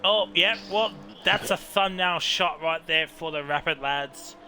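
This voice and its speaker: A man commentating a live online racing league, reacting with quick exclamations and chatty asides. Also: fairly high pitch, pushed and bright. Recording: race game engine noise behind voice.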